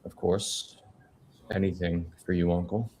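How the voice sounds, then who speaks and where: A man's voice: low voice, level tone, measured pace, darkish tone, a little hiss or hum. A man reading the part of an anxious, unwell newsman from a crime family at a film script table read. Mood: guarded.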